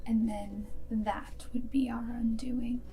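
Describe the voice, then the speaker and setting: A woman playing a guarded but secretly fond vampire girlfriend and wartime spy in a soft, whispered ASMR roleplay, speaking deliberately close to the microphone. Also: a little high, level tone, poor audio. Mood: brisk, dry. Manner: hushed, deliberate, often dropping into whisper.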